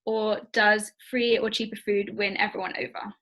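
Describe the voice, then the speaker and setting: Young woman, relaxed and chatty, reads out questions on a video call. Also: medium pitch, moderate pace. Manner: casual, uses "like" and "um". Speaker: Q&A moderator.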